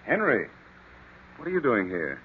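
A man's voice: medium pitch, wildly swooping pitch, a little slow, background noise. A man playing an indignant, evasive lover cornered by a rival in an old-time radio thriller, full of defensive bluster. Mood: defensive annoyance. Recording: muffled vintage radio recording.

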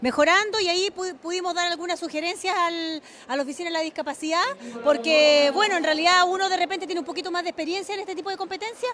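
This is a Chilean woman with an extremely high-pitched voice. She speaks as a coach, answering an interviewer off the cuff. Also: steady tone, fast talker, a bit bright, a little hiss or hum. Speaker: team coach and teacher.

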